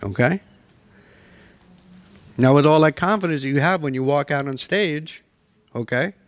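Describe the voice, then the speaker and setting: A man's voice: on the high side, moderate pace, dry recording. A middle-aged man presenting a workshop in a hotel meeting room, coaching people step by step.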